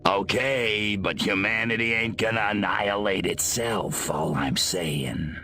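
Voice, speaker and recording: An actor voicing a cocky, sardonic, wisecracking alien invader in a video game, with a gravelly drawl. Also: male voice, fairly low pitch, a little slow, bright, forceful tone, a little hiss or hum. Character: gruff, trigger-happy, wisecracking small alien invader. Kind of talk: video game cutscene voice acting.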